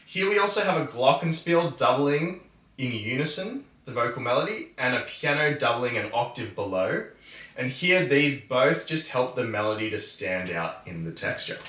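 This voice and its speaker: A man presenting a music tutorial, explaining methodically in a measured, instructional way. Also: mid-range voice, pushed and bright. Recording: roomy, distant microphone.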